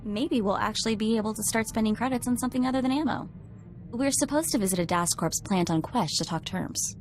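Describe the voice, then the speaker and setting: A woman's voice: a little high, brisk, lightly acted. A young woman playing a perky, savvy sidekick in a video game, speaking in a casual, eager way. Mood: businesslike. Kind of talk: video game cutscene acting. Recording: music behind the voice.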